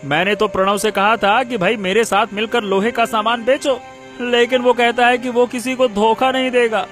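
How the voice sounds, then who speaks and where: Man playing a smug, high-voiced crooked shopkeeper. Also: flat delivery, normal speed, a bit bright. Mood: smug, gleeful. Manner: smug, mocking. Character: gloating, crooked village shopkeeper crony, gleeful and sneering. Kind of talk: cartoon story character acting. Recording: music under the voice.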